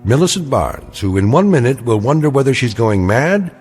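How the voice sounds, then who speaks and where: An actor playing a grave, knowing narrator of an eerie radio anthology, speaking in measured, ominous dramatic narration. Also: male voice, mid-range voice, sing-song, darkish tone, slightly rough, faint music behind.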